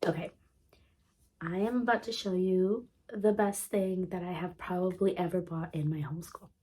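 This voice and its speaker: Woman, 30s, homeschool vlogger; sick, congested and throaty; mellow tone, eager chatty delivery full of ums. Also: lowish voice, fairly even intonation, normal speed. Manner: chatty, enthusiastic, many ums and restarts. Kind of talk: casual show-and-tell vlog.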